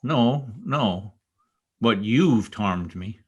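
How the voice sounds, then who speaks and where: An actor playing a brooding, bitter, vengeful spurned lover in an old-time radio drama, speaking formally and intensely. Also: male voice, on the low side, animated, extremely slow, breathy edge, highs cut off, full character voice. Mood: cold, vengeful. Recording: remote video-call recording.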